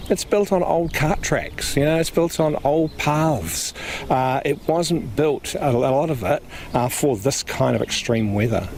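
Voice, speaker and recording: A man with a New Zealand accent speaks earnestly and plainly as a local board member. His pitch sits in the middle. A faint noise runs under the recording. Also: a little slow, darkish tone. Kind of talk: interview clip.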